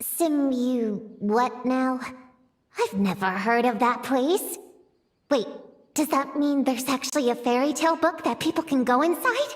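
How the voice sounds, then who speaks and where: High-voiced proud young witch girl. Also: a little slow, no room sound. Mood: earnest. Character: bookish young witch girl, stubborn, proud, self-serious. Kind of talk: anime-style video game character acting.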